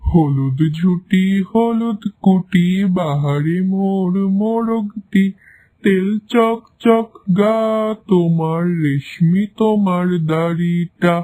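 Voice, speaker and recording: A man's voice: high-pitched, takes it slowly, dark tone. A man voicing a sly, scheming fox who coaxes his prey in a children's cartoon. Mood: coaxing. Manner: coaxing, scheming.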